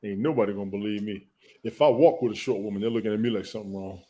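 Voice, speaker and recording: A man's voice: lowish voice, very fast, mellow, a little hiss or hum. Laid-back, slangy male co-host. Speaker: podcast co-host. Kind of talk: casual comedic banter.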